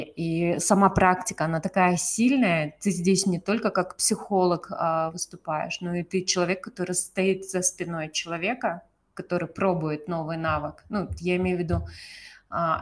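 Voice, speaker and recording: A woman interviewing a guest, asking questions in a halting way as she searches for words. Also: lowish voice. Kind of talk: conversational interview.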